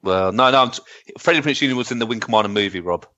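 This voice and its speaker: A man's voice: mid-range voice, rapid-fire, bright, forceful tone, dull audio. A man co-hosting a podcast, chatting casually in a playful, joking way. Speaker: podcast co-host. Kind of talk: casual chat.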